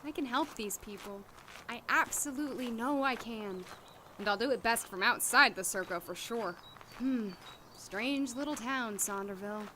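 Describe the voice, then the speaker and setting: A blunt, idealistic doctor, played by a woman with a high, very bright voice. The delivery is conversational audio drama acting, with faint noise behind it. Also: normal speed. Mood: musing, determined.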